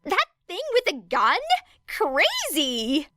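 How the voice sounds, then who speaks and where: A woman voicing a bubbly, excitable teenage girl student in an audiobook. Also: sits high, slow pace, no room sound, pitched above the performer's own voice, full character voice. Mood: excited, friendly. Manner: excitable, gushing. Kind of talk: audiobook character voice.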